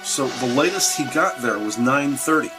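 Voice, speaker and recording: A man's voice: medium pitch, expressive, moderate pace. An American man reading scripted crime-drama narration. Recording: music behind the voice.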